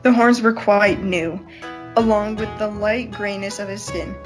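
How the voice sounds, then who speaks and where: A woman's voice: medium pitch, fairly even intonation, unhurried. A woman reading fan fiction aloud as a podcast reader. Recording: plucked guitar music behind. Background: plucked guitar music.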